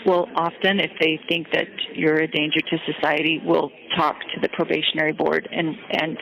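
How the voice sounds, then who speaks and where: A woman inmate talking haltingly and deliberately on a recorded phone call. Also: sits low, gravelly. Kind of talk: phone conversation. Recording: jail phone call with background chatter.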